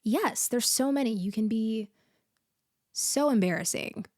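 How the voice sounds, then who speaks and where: A woman playing a blunt but caring best friend in a comforting roleplay ASMR audio, talking casually with thoughtful pauses. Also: on the high side, a little mellow, no echo, studio quality, lightly acted. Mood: reflective, reassuring. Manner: casual, conversational, hesitant pauses. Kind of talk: intimate roleplay ASMR, casual one-sided conversation.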